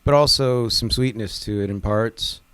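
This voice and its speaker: A man in a band giving a casual interview, halting and tongue-in-cheek. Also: lowish voice, a bit bright, clear, resonant tone, no echo. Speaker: band member being interviewed.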